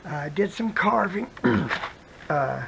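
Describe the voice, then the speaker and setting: An adult craft vlogger chatting casually while working, with a hoarse voice that keeps cutting in and out, rambling softly and drifting into whispers. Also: medium pitch, lively intonation, takes it slowly, heavy background noise.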